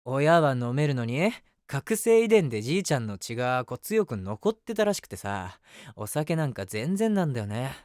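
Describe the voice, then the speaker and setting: An eager, lovestruck young man played by a male actor tells his story straight to the listener in a voice drama. His delivery is very lively in pitch, chatty and self-deprecating, with a submissive puppyish temper. The sound is dry and close. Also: normal speed, smooth, dry recording, close to an everyday voice. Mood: nervous, giddy. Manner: chatty, rambling, self-mocking. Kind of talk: situation voice drama, first-person monologue to the listener.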